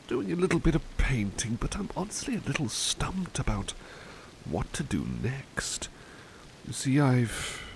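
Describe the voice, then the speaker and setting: A man playing a devoted, polite butler in an ASMR roleplay, speaking softly and hesitantly with courteous formality, often slipping toward a whisper. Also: medium pitch, gravelly, slight background noise, heavily acted, poor audio. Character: devoted, affectionate, polite male butler comforting his companion. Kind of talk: ASMR roleplay, intimate personal attention.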